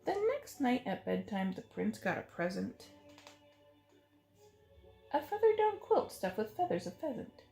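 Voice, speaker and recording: A woman's voice: mid-range voice, sing-song, unhurried, long pauses, a little mellow. A woman reading a rhyming picture book aloud to children with dramatic, sing-song phrasing, in a roomy recording. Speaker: children's story reader. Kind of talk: expressive picture-book read-aloud in rhyme. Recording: roomy echo, faint music.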